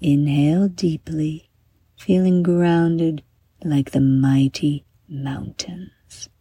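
A woman with a low, mellow voice leads a guided bedtime meditation. She reads in a soothing, unhurried way, with a soft husky breathiness as phrases end. The recording is close and dry. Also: fairly even intonation, no echo. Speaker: guided meditation narrator and host.

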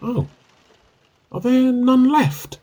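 An actor playing an evasive, sheepish husband with a dry wit in a radio play comedy, hesitant and teasing. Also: male voice, very high voice, very slow, dark tone, exaggerated voice.

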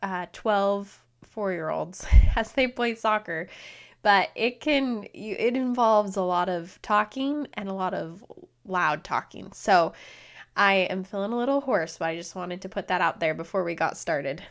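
Woman host, thirties, a little hoarse, earnest and encouraging, mid-pitched. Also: measured pace. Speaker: podcast host. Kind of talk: solo podcast talk.

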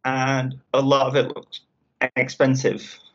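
A man from England answering interview questions casually, halting with frequent ums and restarts. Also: medium pitch, completely flat delivery. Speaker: interview guest. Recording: dull lo-fi sound.

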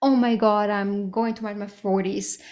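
A woman's voice: medium pitch, steady tone, normal speed, darkish tone. A woman in her thirties hosting a solo podcast, talking candidly and casually, with frequent fillers and the odd swear word. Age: 30s. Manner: candid, casual and lightly profane, with frequent "like" and "right?". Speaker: podcast host. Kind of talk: reflective solo podcast monologue.

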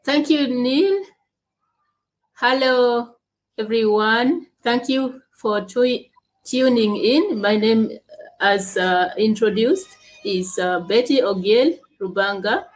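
Woman, slightly high voice, talks super slow and halting, long gaps. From Uganda. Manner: slow, halting speech with long pauses, from a speech impairment. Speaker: guest, leadership coach and speaker. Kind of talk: interview answers.

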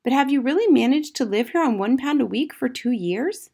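A woman voicing a bright, indignant and eager schoolgirl in a storybook, asking emphatic, forthright questions. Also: high voice, lively intonation, brisk, creaky, breathy edge, voice pushed up, exaggerated voice. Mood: probing.

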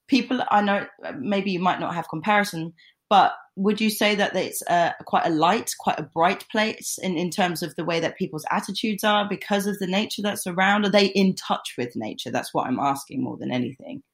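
A woman's voice: mid-range voice, normal speed. A woman in her thirties hosting an interview, enthusiastic and full of exclamations. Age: 30s. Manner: enthusiastic, gushing. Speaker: podcast host. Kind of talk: casual interview chat.

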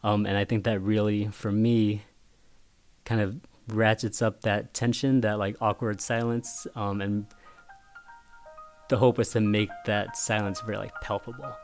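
A man whose first language was Vietnamese, a picture book author talking casually in an interview, thoughtful and halting with many restarts. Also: lowish voice, little pitch movement, soft background music.

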